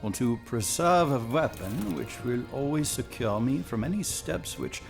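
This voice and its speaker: Audio drama king, man acting: pompous, formal, exclamatory, widely swinging pitch, faint music behind. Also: expressive, measured pace, creaky. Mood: reading aloud. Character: haughty, theatrical, lovelorn male European king.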